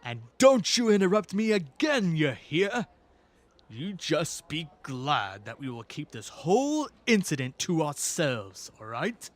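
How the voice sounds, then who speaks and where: A man acting in an audio drama as a young hero posing as a pompous high priest, blustering and stumbling over his words. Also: very animated, measured pace. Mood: pompous scolding.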